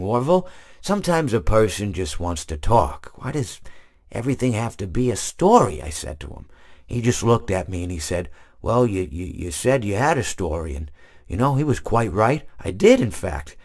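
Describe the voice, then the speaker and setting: An actor playing a gentle, absent-minded old male hippopotamus animatronic who rambles and stammers through wistful stories, in video game voice lines. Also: on the low side.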